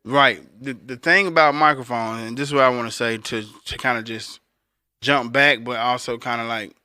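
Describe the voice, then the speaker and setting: A man with a deep voice co-hosting a podcast as a recording engineer, explaining things casually and speaking directly to the listeners. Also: fairly even intonation, moderate pace, pushed and bright, clear, resonant tone, no room sound. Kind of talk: casual instructional talk.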